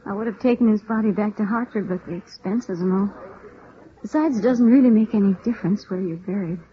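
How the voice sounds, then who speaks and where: Woman acting as bitter young American widow, thirties, brooding and intense, mid-pitched, muffled radio drama recording, faint hiss. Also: fairly quick, lightly acted. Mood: weary, bitter. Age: 30s.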